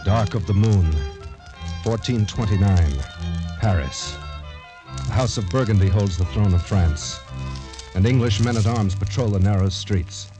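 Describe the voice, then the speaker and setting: A man's voice: lowish voice, rough recording. A man narrating a radio drama in a dramatic, scripted 1940s style. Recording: vintage radio broadcast recording.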